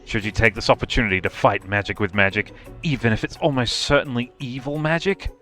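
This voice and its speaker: A man's voice: mid-range voice, steady tone, brisk, a bit bright. A man narrating a game as a live streamer, reading aloud with casual, sweary reactions. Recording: faint music behind.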